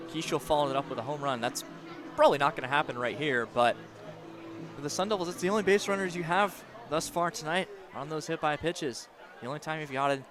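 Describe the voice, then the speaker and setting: A man's voice: a little high, fast talker, over music. A man giving analytical, critical baseball color commentary in a conversational way.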